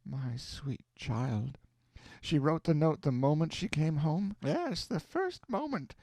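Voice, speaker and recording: A man voicing a gravely ill mother who speaks tenderly and wistfully of her daughter. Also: a little high, expressive, slow, a little mellow, dry recording, pitched above the performer's own voice, heavily acted.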